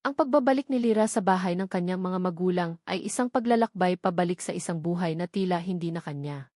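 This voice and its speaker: A woman's voice: fairly low pitch, fairly quick, warm tone, no echo. Filipino woman narrating drama, very dry sound. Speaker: story narrator. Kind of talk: dramatic scripted romance narration.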